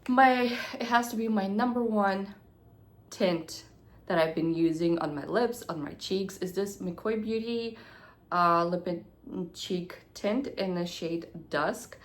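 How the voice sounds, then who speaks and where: A young woman vlogging about her beauty favorites, nasal and congested from a cold, talking quickly and sometimes dropping to a near-whisper. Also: mid-range voice. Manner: chatty, hurried, drops to near-whispers at times. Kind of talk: casual product review to camera.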